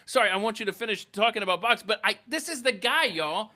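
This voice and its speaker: A man's voice: high voice, expressive, fast, pushed and bright. A man hosting a podcast, reminiscing casually in an effusive, emphatic way and repeating himself to stress a point. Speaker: podcast host.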